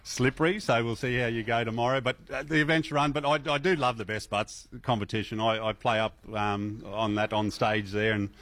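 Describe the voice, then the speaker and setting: A middle-aged man with an Australian accent, a festival MC chatting as a radio interview guest in a relaxed way, with many fillers and restarts. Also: mid-range voice, brisk, few pauses, no room sound.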